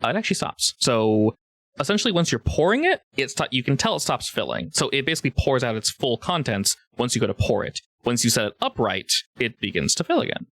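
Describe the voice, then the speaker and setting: A male game master narrates a tabletop roleplaying game off the cuff. His pitch moves around in a lively way, and the recording is close and dry. He keeps an informal, joking manner. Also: fast talker, dry recording.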